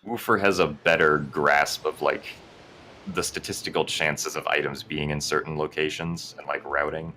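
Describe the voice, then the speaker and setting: Male player, extremely deep voice with a bright edge, calm and modest. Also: normal speed, a little hiss or hum. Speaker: competitor being interviewed. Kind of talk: casual interview answers.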